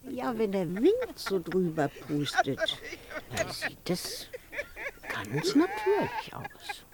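A woman's voice: medium pitch, wildly swooping pitch, slow pace, a loud crowd. An actress playing a naive, eager, easily flustered village woman, hesitant and simple in manner, in a radio play. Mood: flustered, earnest. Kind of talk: naturalistic radio play acting.

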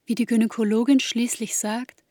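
A woman acting as an intimate, grieving narrator in a literary radio play, speaking in a slow, measured way to a bereaved mother. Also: on the high side, level tone, warm tone, no echo, natural voice. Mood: quiet grief. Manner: slow, measured, intimate second-person delivery.

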